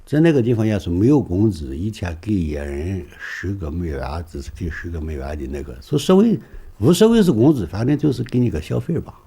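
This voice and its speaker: Low, husky man with lively pitch. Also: brisk. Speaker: interviewee. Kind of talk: unscripted interview talk.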